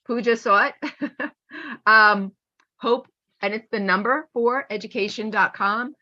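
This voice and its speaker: A woman teacher giving an informal webinar talk with anecdotes in an animated manner, acting out remarks as she goes. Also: on the high side, unhurried, lo-fi sound. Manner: animated, acts out pupils' remarks. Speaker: teacher and author presenting a webinar.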